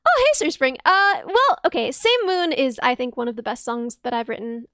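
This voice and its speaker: A young woman streaming and chatting casually with viewers, a bubbly talker with a high, dense, slightly piercing voice who bursts into excited thanks. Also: normal speed, no room sound, clean studio sound. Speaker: streamer and musician. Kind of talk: casual livestream chat with viewers.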